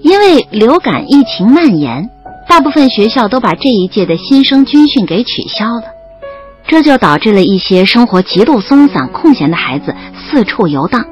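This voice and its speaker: A young female student narrating an audio drama with playful, wry flair. Her voice sits on the high side and moves lively from line to line, with soft music behind it. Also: moderate pace. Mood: amused exasperation.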